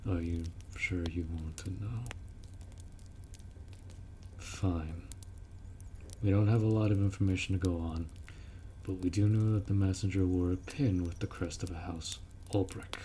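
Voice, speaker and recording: A man's voice: low-pitched, steady tone, measured pace, long pauses, warm tone, slight background noise. A man voicing a blunt, protective orc warrior who speaks informally and firmly in a roleplay audio drama. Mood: grave, guarded. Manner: blunt, informal, firm, instructing.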